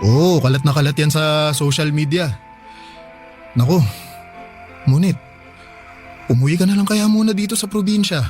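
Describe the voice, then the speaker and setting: Worried, protective husband, male actor, Filipino, slightly high voice, fretful conversational radio drama delivery, faint music behind. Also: moderate pace, pauses often, close to an everyday voice. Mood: anxious, urging. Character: anxious, protective provincial husband on a phone call. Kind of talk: naturalistic radio drama dialogue.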